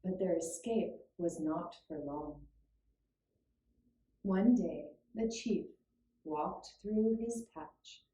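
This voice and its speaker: Slow-spoken woman storyteller in an echoey room. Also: lowish voice, warm tone. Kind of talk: scripted storybook narration for a puppet show. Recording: roomy, echoing room sound.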